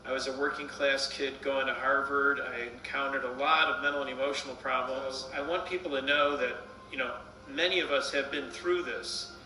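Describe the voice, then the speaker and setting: A man giving unscripted personal testimony in a reflective, halting way. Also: a little high, sharp tone. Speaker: mental health advocate with lived experience. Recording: roomy, distant, music underneath.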